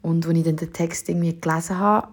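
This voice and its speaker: A woman's voice: lowish voice, fairly even intonation, quick pace, breathy edge. A young woman with a Swiss German accent, a singer-songwriter casually answering interview questions with many fillers.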